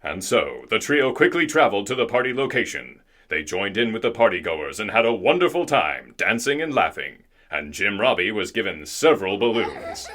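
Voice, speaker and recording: A man's voice: lowish voice, big pitch swings, moderate pace, few pauses, pushed and bright. A man playing a theatrical, put-upon narrator in a scripted radio drama. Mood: storytelling.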